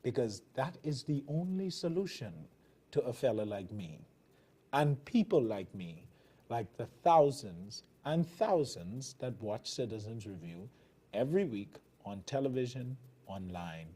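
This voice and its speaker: A man's voice: mid-range voice, big pitch swings, measured pace, dark tone, husky. A man from the Bahamas with a Bahamian accent, hosting a TV commentary show and delivering fiery, emphatic political commentary in a preaching cadence. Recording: television broadcast.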